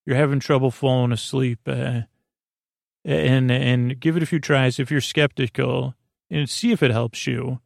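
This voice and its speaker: Creaky-voiced, rambling man hosting a sleep podcast. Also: medium pitch, steady tone, dry recording, clean studio sound. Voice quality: creaky, gruffish voice. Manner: halting, goofy, digressive, trailing off mid-thought. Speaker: host of a bedtime sleep podcast. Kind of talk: rambling, meandering monologue to lull listeners to sleep.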